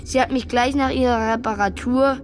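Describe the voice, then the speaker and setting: A woman's voice: fairly high pitch, steady tone, moderate pace, a little hiss or hum, close to an everyday voice. An actress playing a chatty, ordinary young boy in a children's radio play. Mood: casual, chatty.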